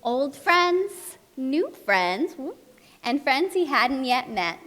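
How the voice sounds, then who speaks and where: A woman tells a story to young children at a live puppet show. Her high voice is expressive and rings through an echoey hall. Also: slow pace. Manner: expressive, addresses young children with simple questions.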